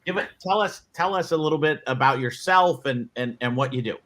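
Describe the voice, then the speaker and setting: Bright-voiced man moderating a webinar. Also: medium pitch, brisk. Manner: loose, rambling, many restarts. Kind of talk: casual panel moderation.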